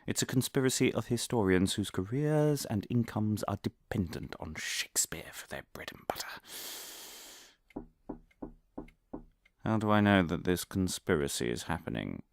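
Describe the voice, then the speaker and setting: A man's voice: on the low side, lively intonation, normal speed, hardly any pauses. An actor playing an earnest, muddled, pompous male academic obsessed with conspiracy, giving a theatrical, digressive comic lecture. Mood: conspiratorial, paranoid. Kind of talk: comic monologue delivered as a scholarly lecture.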